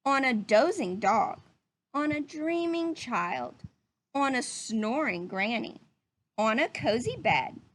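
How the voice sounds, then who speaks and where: A woman's voice: a little high, big pitch swings, slow pace, fairly bright tone. A woman reading a picture book aloud to young children like a teacher, drawing out phrases and putting questions to her listeners.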